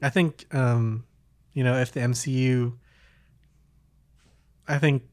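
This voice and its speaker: A man's voice: medium pitch, fairly even intonation, slow pace, a little mellow, no echo. Hesitant man chatting casually, dry sound. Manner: hesitant, rambling, thinking aloud. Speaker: podcast host. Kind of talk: casual chat.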